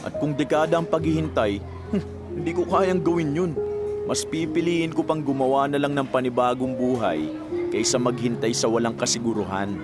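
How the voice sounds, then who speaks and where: A man's voice: medium pitch, moderate pace, close to an everyday voice. An actor with a Filipino accent playing a supportive, practical young male friend in a Tagalog radio drama. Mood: consoling. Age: young adult. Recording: loud music under the voice.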